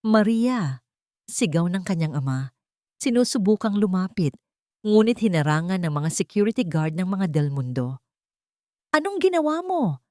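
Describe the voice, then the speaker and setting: Low-voiced woman narrating drama. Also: lively intonation, normal speed, mellow, no echo, studio quality. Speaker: story narrator. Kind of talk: dramatic scripted narration of a love story.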